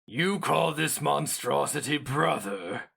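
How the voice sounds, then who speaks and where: A man's voice: fairly high pitch, expressive, takes it slowly, gravelly, a bit bright, husky, voice pushed up, exaggerated voice. Man as a proud, savage hero mage, raised voice. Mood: pained disbelief. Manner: theatrical, declamatory, raised well above the narration. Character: savage, indomitable, proud male hero mage, famed and feared.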